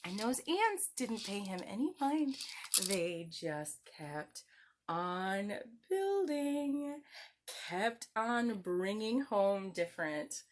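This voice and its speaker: A woman's voice: medium pitch, big pitch swings, drawn-out words. A woman hosting story time for young children, telling tales expressively in simple words.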